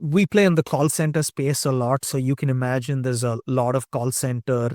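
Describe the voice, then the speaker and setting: A middle-aged man with an Indian accent, a tech company CEO explaining things in a casual interview, quick and full of "you know" and "right". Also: medium pitch, steady tone, darkish tone, dry recording, clean studio sound.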